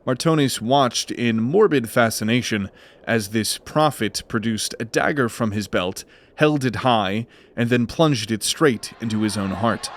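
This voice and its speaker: Male fantasy narrator, measured and dramatic. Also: mid-range voice.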